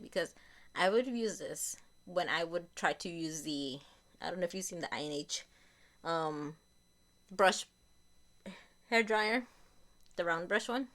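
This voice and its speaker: A young woman with a fairly low voice, a bit raspy from a lingering cold. She chats casually through her used-up beauty products, often repeating and correcting herself as she goes. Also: normal speed, pauses often, a bit bright. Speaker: beauty vlogger.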